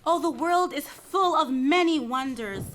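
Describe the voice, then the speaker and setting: Tragic chorus citizen female reader: lightly high-pitched, solemn, earnest, declamatory. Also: lively intonation, a little slow, a bit bright, slight background noise. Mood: solemn, proud. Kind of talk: dramatic reading of Greek tragedy.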